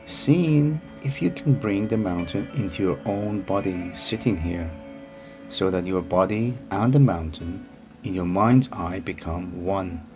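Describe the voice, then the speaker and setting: A man narrating a guided meditation in a calm, soothing manner with unhurried phrasing. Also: sits low. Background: music behind the voice.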